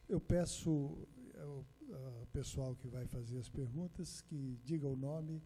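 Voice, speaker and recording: A man's voice: medium pitch, slow pace, mellow. A man moderating the question session, giving brief, formal instructions to the audience. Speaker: event moderator.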